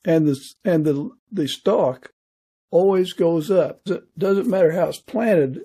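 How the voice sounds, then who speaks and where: Higher-pitched husky man, mellow and thoughtful. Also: measured pace. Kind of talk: casual, reflective conversation.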